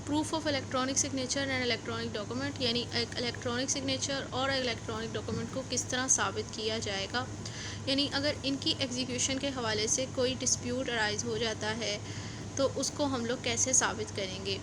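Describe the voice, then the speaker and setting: A woman's voice: a little high, fairly even intonation, background noise. A woman with a Pakistani accent gives an explanatory law lecture, going over points informally and repeating them as a teacher does. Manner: reads formal text slowly, then explains informally with repetition.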